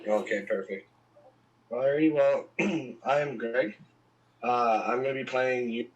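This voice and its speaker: A man with a hoarse voice from losing it, chatting casually as a player. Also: mid-range voice, unhurried, highs cut off. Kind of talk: casual chat.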